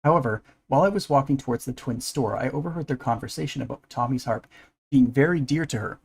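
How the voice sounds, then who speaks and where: A man's voice: medium pitch, fast talker, creaky, breathy edge. A man streaming a visual novel, reading the story aloud and putting on voices for the characters. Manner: puts on character voices for dialogue. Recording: music under the voice.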